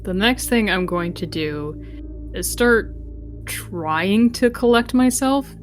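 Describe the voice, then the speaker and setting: A woman's voice: medium pitch, expressive, slow pace, faint music behind. A woman chatting casually as a player in a tabletop role-playing game, thinking aloud.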